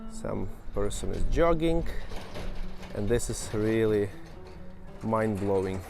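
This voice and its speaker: A man's voice: lowish voice, animated, slow pace, creaky, darkish tone, faint music behind. Ukrainian-accented man chatting through a walk. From Western Ukraine. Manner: chatty, pointing things out to viewers. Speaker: travel vlogger. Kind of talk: casual walking travel commentary. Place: outdoors walking through an old town.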